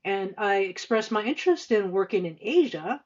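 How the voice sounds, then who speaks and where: Female podcast guest, fifties, hesitant conversational delivery, middle-pitched voice, dull recording. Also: animated, a little mellow. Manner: halting, hesitant delivery with frequent stammered repeats and long filler runs. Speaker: podcast guest, senior finance executive. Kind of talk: conversational interview answers, career recollection.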